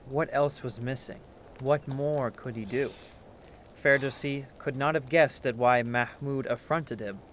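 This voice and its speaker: A man's voice: medium pitch, fairly bright tone, slight background noise. A man giving a scripted, dramatic narration of a historical biography in a literary storytelling manner.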